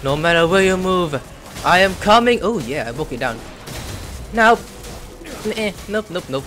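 Young male streamer, high lively voice, slow-paced excitable play-by-play. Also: soft background music. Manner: excitable, swears and shouts at enemies. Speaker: gaming streamer. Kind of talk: casual gameplay commentary.

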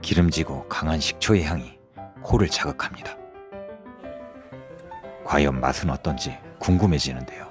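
A man narrating a restaurant review vlog in a lively, descriptive way, recorded in a busy barbecue restaurant. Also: extremely low pitch, normal speed, pauses often, music in the background. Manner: lively, descriptive, chatty with friends. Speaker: food vlogger.

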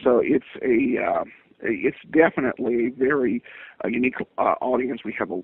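A man answering interview questions as a podcast guest, speaking in a halting, hesitant, stop-start way over a lo-fi line. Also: medium pitch, huge pitch swings, gravelly, husky, dry recording. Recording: tinny lo-fi phone-like line.

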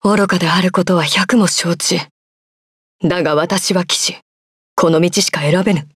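Dutiful woman knight; measured, stern, dry booth. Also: fairly low pitch, fairly even intonation, slightly rough, dry recording. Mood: resolute. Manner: formal, measured delivery. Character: stern young female imperial knight, loyal and dutiful. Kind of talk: game voice clips.

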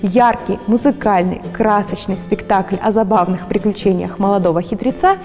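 A woman narrating a fairy-tale stage show in an even storytelling manner. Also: mid-range voice, normal speed. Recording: long hall reverb, faint music.

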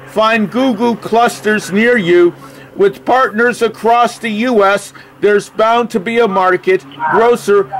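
A man on a phone call speaking in a halting, deliberate, literal way as he spells and reads aloud. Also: sits high, slight background noise. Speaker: phone call recipient stringing a caller along. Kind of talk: slow phone conversation, spelling and reading aloud.

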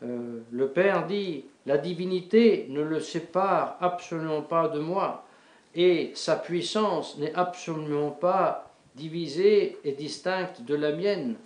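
A middle-aged male priest preaching a sermon in a small chapel, halting and repeating words with many fillers. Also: a little high. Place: small wood-panelled chapel before an altar. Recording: echoey chapel.

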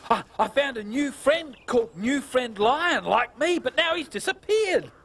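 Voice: sits high, lively intonation, unhurried, creaky, husky, heavily acted. A performer voicing an eager, naive young lion in a preschool cartoon, exclaiming and stammering with playful friendliness over music. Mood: puzzled, earnest. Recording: loud music behind the voice.

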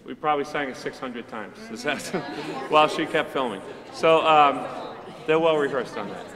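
A middle-aged man, a high school chorus director, chatting casually to a concert audience from the stage with plenty of ums and asides. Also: normal speed, crowd noise. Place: large school auditorium stage. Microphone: mic on a stand, speaking from among the choir. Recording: roomy hall reverb with faint audience ambience.

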